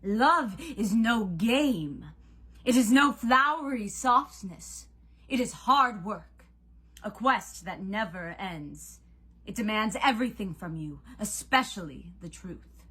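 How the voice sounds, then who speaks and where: Woman as an imperious, slow, taunting love god. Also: mid-range voice, animated, bright, forceful tone, husky, voice pushed up, heavily acted. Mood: scornful, forceful. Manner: drawn-out, menacing, declamatory.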